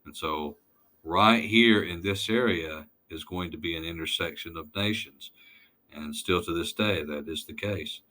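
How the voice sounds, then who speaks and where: A man lecturing informally over slides, halting and deliberate, putting weight on key words. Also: low-pitched, bright, forceful tone.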